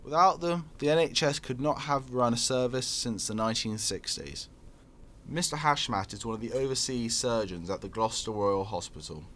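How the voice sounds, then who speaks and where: Man, documentary narrator, scripted, measured delivery, faint background hiss. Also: medium pitch, a bit bright.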